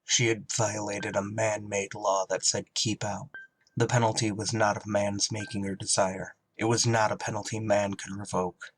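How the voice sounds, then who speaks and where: A man playing a blunt, solemn spaceship pilot who also narrates a radio drama, deliberate and terse, with buried feeling. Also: on the low side, little pitch movement, slight background noise, natural voice, low-quality recording. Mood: brooding narration. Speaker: actor and first-person narrator.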